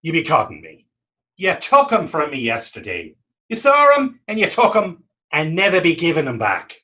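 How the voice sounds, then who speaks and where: Man playing young Irishman, raspy, hugely swooping pitch, defiant, goading, folksy stage drama. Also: huge pitch swings, moderate pace, pushed and bright, exaggerated voice. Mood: indignant. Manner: indignant, goading, folksy dialect. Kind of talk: stage readers theater acting.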